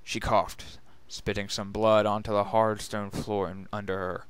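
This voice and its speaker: A man's voice: fairly low pitch, level tone, a little slow, smooth, no echo. A man narrating a scripted story as a dramatic reading. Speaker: narrator and reader.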